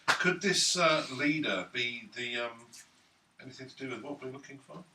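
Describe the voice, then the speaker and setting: Mid-pitched male player, noisy echoey room. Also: animated, normal speed, far from the mic. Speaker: tabletop game player. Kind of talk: casual game chat, questioning.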